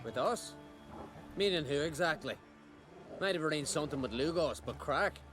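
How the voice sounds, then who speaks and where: A man playing a rough, scornful island seafarer and scavenger in a fantasy game, talking in a blunt, salty, folksy way. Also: fairly high pitch, animated, moderate pace, over music, lightly acted. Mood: gruff, challenging. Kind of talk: cinematic game dialogue.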